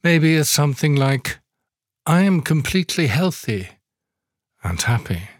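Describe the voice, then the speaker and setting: A man leading a guided yoga nidra meditation, giving soothing instructions slowly with long pauses. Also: fairly high pitch, big pitch swings, dry recording, clean studio sound.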